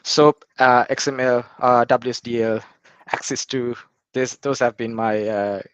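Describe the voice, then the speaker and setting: A man's voice: medium pitch, fairly even intonation, unhurried, a bit bright, smooth, dry recording. A man, chatting as an interview guest and hesitating with frequent fillers and restarts. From Sri Lanka. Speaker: guest, cloud architect. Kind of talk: casual interview chat. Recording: dry, slightly muffled.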